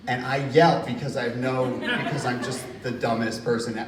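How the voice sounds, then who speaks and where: A man from New Jersey tells stories at a university reading, laughing easily and throwing his voice into the lines he quotes. His voice sits at an ordinary middle pitch. It reaches the mic from some distance, with room echo and a faint hum of ambience around it. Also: normal speed. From Hudson County, New Jersey. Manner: lively, laughing anecdotes with acted-out quotes. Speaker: author at a reading. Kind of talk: casual storytelling in a Q&A. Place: university reading event hall.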